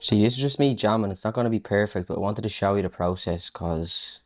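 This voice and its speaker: A man's voice: fairly low pitch, quick pace. A man talking casually through a music production tutorial, thinking aloud as he works. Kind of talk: informal screen-recorded tutorial.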